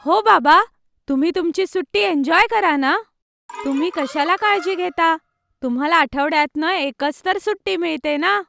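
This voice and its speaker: A little schoolgirl in a children's cartoon story with an extremely high, childlike voice. She sounds eager and obedient with a crafty, pleading edge, over faint music. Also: moderate pace, creaky, sharp tone, exaggerated voice. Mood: coaxing.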